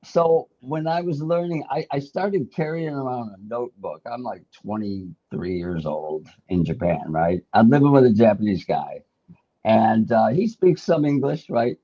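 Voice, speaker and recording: A middle-aged man from the United States chats casually and pokes fun at his younger self, laughing along. His voice is slightly husky, and the recording sounds dull and lo-fi. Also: mid-range voice, animated, normal speed. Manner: self-deprecating storyteller, laughs often. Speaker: host. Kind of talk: casual chat.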